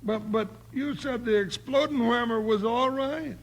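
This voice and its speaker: An actor playing a comic, easily bewildered cowboy deputy in a 1950s radio western, with an American folksy drawl and a gravelly voice. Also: male voice, very high-pitched, a little slow, exaggerated voice. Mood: puzzled. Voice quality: creaky, gravelly. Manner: folksy drawl with cowboy slang and bad grammar. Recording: noisy old 1950s radio recording.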